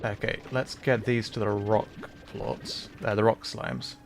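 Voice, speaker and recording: Low-voiced man chatting casually on a stream. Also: fairly even intonation, measured pace, vocal fry. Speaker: livestream host, VTuber and audio voice performer. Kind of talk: casual livestream chat while playing a video game. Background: music behind the voice.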